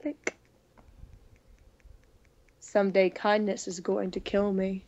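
A woman co-hosting a gaming video, reading visual novel text aloud in a playful, expressive way with casual asides. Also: medium pitch, takes it slowly. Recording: dull, noisy home recording.

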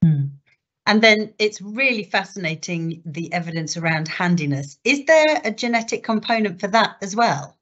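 A woman's voice: mid-range voice, expressive, normal speed. A woman in her forties hosting a Q&A, enthusiastically putting audience questions to the speaker over a video call. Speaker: event host, moderator. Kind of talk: moderating Q&A. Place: home room with patterned wallpaper. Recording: slightly dull video call audio.

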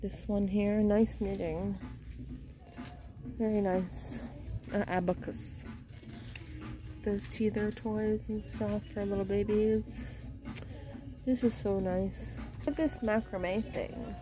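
A woman strolls through a big home goods store, chatting at an unhurried pace about what she finds and reading each price aloud. Her voice sits at an ordinary middle pitch. Music plays clearly underneath the recording. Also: steady tone. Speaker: shopping vlogger. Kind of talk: casual shop-with-me narration.

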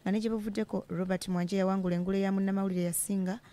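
Woman reading tv news, flat even delivery, mellow tone. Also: mid-range voice. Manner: brisk, steady newsreader delivery. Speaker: news reporter. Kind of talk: scripted news voice-over.

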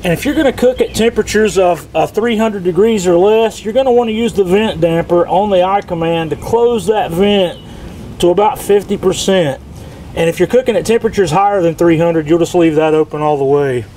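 A middle-aged man from the sound of a casual product tutorial, speaking. His voice sits a little high for a man. He explains each step in a folksy way, with some outdoor ambient noise behind him. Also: brisk, warm tone, poor audio. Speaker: product demonstrator. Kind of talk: casual instructional how-to narration.

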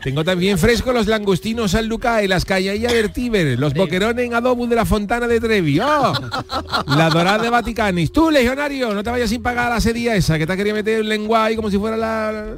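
Radio comedy: a streetwise Cádiz chancer, quick and high-ish, clipped Andalusian speech, music underneath. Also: male voice. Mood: hawking loudly. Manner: colloquial, wisecracking, clipped words. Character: cheeky streetwise Cádiz man time-travelling to ancient Rome. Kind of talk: comic radio theatre.

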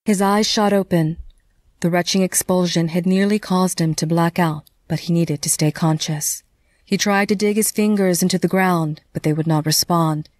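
A woman reading scripted narration for a dark fantasy audio drama. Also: fairly low pitch, fairly even intonation, moderate pace, dry recording, studio quality.

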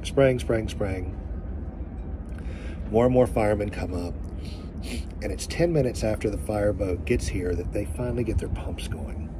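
A man in his forties telling a story straight to a phone camera outdoors, speaking earnestly and emphatically, pausing to find his words. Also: on the low side. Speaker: family vlogger. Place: outdoors by a boat in sunlight. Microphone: phone held at arm's length. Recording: outdoor phone audio with background noise.

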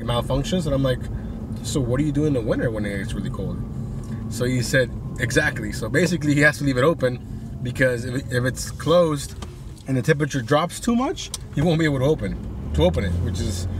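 A man's voice: mid-range voice, distant mic. Chatty young man vlogging from his car. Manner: chatty, relaxed, frequent "you know" asides. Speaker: delivery driver vlogger. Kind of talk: casual vlog talk to camera. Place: inside a car, outdoors by street traffic. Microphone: camera on dashboard. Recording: car interior with road noise, mic set back.

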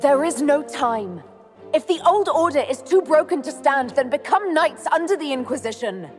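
A woman's voice: high-pitched, lively intonation, moderate pace, soft background music. A woman playing a firm, resolute young elf leader in a video game. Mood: firm, urgent. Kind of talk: video game dramatic acting.

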